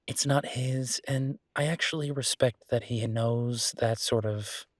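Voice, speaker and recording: A man playing an easygoing, passionate restaurateur boyfriend in a conversational roleplay audio drama, husky and chatty with emphatic repetition. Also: medium pitch, fairly even intonation, a little slow, no echo, studio quality, lightly acted. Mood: earnest, enthusiastic.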